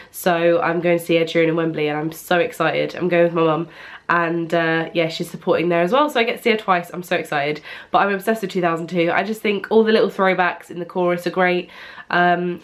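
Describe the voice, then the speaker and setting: Young British woman, congested with a cold, chatty and rambling, slightly low voice for a woman. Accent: British English. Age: young adult. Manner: chatty, rambling, many ums. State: blocked nose from a cold. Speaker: vlogger. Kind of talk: casual chat to camera. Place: at home, indoors. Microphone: camera propped on an ironing board.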